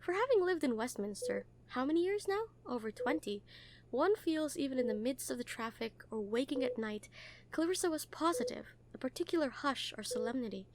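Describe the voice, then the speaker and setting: High-pitched, caring nurse played by a woman. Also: animated, fairly quick, slight background noise. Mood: calm reading. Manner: hesitant, caring, reassuring, conversational. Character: kind, earnest, gently teasing hospital nurse comforting a patient. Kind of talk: roleplay audio acting, soft comfort talk.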